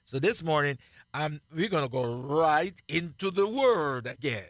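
A man with a Jamaican accent, preaching on a radio broadcast in a slow, emphatic way and repeating key phrases. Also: fairly high pitch, animated, a bit bright, dry recording. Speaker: pastor. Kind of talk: radio sermon, co-hosted Bible teaching.

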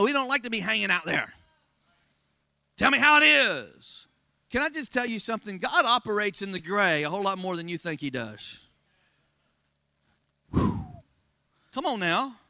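A man's voice: sits high, very animated, normal speed, long pauses, bright, forceful tone, no room sound, clean studio sound. A man from Florida with a Southern accent preaching a Pentecostal sermon, calling out to the congregation for amens and responses. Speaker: pastor.

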